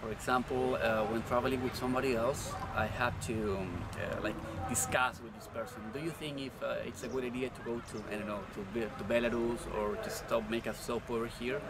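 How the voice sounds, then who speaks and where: A young man from Chile talks in English, often stopping mid-phrase to find a word. His pitch sits in the middle of a man's range. Traffic and street noise carry clearly behind him, recorded outdoors. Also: steady tone, fast, hardly any pauses. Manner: hesitant, searching for English words. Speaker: touring cyclist being interviewed. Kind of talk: casual interview answers. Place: outdoors at a pilgrimage site.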